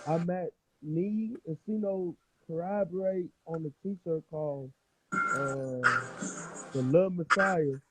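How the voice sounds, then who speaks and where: A man from Chicago, a guest on a live stream, talking haltingly through a phone held too far away. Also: on the high side, over loud music. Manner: halting, repeats himself, says "you feel me". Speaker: guest, film creator. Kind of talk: casual live-stream talk. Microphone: phone held away, quiet and hard to hear.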